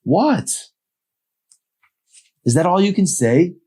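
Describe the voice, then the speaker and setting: An exasperated teenage girl from a novel read out by a man, hurt and sarcastic. The delivery is emphatic and snappish, lifted well above the reader's deep usual register. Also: very animated, takes it slowly, dark tone, slightly rough, heavily acted. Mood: indignant.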